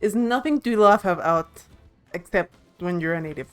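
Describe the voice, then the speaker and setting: A woman's voice: lowish voice, normal speed, faint music behind. A woman from Mexico City giving casual commentary while streaming a game. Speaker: live streamer. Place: game stream with game music behind.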